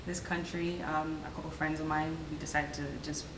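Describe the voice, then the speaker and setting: A woman guest chats playfully, giggling and restarting her sentences. Her voice sits low and sounds set back from the mic. Echo from the room and a clear hum surround her. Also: level tone, normal speed, recorded from a distance. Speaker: guest, actress. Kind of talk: casual interview chat. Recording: echoey room, off-mic, humming.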